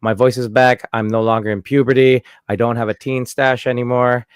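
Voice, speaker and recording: An upbeat man hosts a casual podcast and trades jokes with his co-hosts. His voice sits at a middle pitch, recorded close and dry with no room sound. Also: flat delivery, fairly quick, clear, resonant tone, no room sound. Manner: joking, upbeat banter. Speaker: podcast host. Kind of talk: casual chat.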